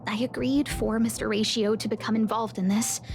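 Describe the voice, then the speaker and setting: An actress playing a polite, gentle young woman in authority in a video game. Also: on the high side, flat delivery, brisk, over music, lightly acted. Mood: reassuring. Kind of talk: scripted video game voice acting.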